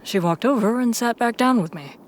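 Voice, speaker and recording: A woman's voice: on the high side, expressive, a little fast, a little hiss or hum. A woman reading scripted first-person narration for an audio drama.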